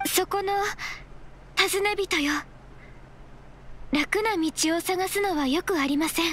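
A woman voicing a gentle, earnest immortal in training, speaking politely and formally in a game. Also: extremely high pitch, slow pace, a little hiss or hum, exaggerated voice.

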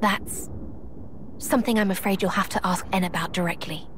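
Woman acting an enigmatic guide, mid-pitched and formal. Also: fairly even intonation, a bit bright, a little hiss or hum, lightly acted. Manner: measured, formal, cryptic. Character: enigmatic, composed female guide of ancient knowledge. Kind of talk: video game voice acting.

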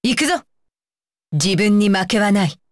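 A woman's voice: mid-range voice, lively intonation, unhurried, no echo, clean studio sound, lightly acted. A woman voicing a stern, dutiful young female ninja bodyguard for an anime game, with clipped, commanding and blunt phrasing. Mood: firm, composed. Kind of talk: Japanese anime game voice lines.

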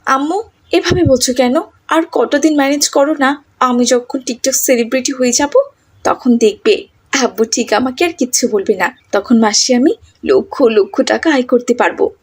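A woman plays a scheming cartoon teenage girl with a high voice and slightly husky edge. She wheedles and brags breezily, like an older sister set on fame. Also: normal speed, a little mellow. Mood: pleading.

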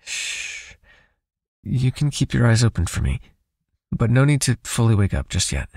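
A man playing a possessive, soothing vampire captor in an intimate ASMR hypnosis roleplay, speaking slowly and breathily, coaxing and gently commanding. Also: sing-song, dry recording, studio quality. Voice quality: husky, breathy, low, often near a whisper.

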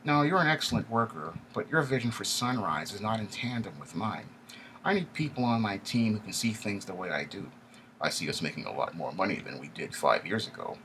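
A man's voice: lowish voice, expressive, brisk, fairly bright tone, slight background noise, voice pushed up, rough recording. A man voicing an arrogant, contemptuous male vice president in a story, with a twangy nasal, pompous delivery. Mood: cold disdain. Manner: pompous, jargon-heavy, condescending. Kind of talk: audiobook character dialogue.

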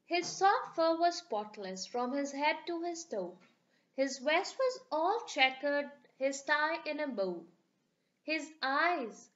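A woman's voice: high voice, fairly bright tone, lo-fi sound. A woman reading a rhyming picture book aloud for children in a sing-song storytelling manner.

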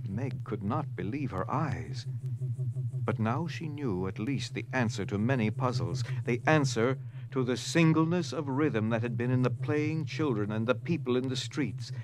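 A man's voice: medium pitch, rough recording. A man narrating an audio drama in a dramatic, scripted storytelling style. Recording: noticeable background noise.

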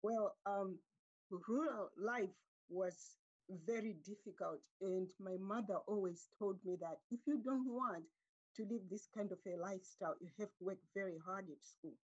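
Casting: middle-aged woman from Zimbabwe, husky, measured, unhurried guest on a webcam call. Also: mid-range voice, highs cut off. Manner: calm, measured, with frequent um pauses. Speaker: guest artist. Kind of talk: conversational interview answers. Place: home room with curtains. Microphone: laptop webcam on a video call.

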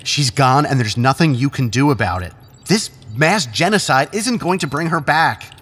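Anguished, defiant man with wide-swinging pitch. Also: lively intonation, moderate pace, fairly bright tone, husky. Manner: pleading, emphatic, stammering when upset. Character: anguished, defiant brother and reluctant accomplice. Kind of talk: audio drama acting. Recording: long cavernous reverb.

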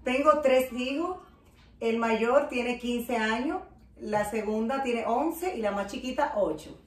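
A woman's voice: fairly high pitch, slow pace. A woman from the Dominican Republic with a Dominican accent, chatting casually as host of a home vlog. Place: living room at home. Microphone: camera a few meters away. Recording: roomy, distant microphone.

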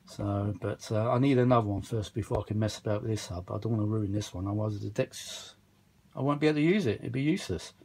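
A tired man with a husky voice, chatting casually and a little exasperatedly in a rambling hobby repair vlog, recorded indoors on a handheld camera. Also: on the low side, quick pace, darkish tone. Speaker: vintage audio hobbyist vlogger. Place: indoors, small home workshop. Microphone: handheld phone or camera behind the lens.

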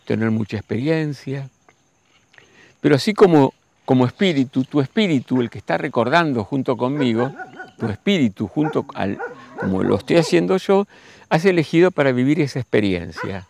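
Gravelly man in his sixties. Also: mid-range voice, darkish tone, no echo. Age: 60s. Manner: slow, musing, addresses listeners directly. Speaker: spiritual teacher. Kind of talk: reflective spiritual monologue.

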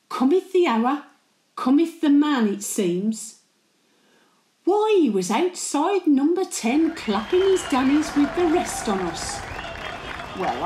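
An older woman playing a chatty, cheeky, warm-hearted working-class Birmingham great-grandmother, gossiping and rambling through a monologue. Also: on the high side, roomy sound, poor audio. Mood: fond, chatty. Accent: Birmingham (Brummie). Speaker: actor performing a dramatic monologue.

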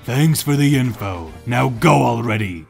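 A man's voice: medium pitch, animated, measured pace, vocal fry, husky, soft background music, heavily acted. A man playing a stern, confident alien warrior with a blunt, commanding manner in a fan audio drama. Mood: curt, commanding.

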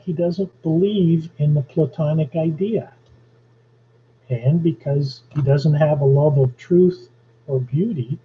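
A man's voice: on the high side, a little hiss or hum. A man from Canada giving an online lecture on poetry and history, explaining at an easy pace with jokey asides. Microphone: video call. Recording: dull lo-fi video call audio.

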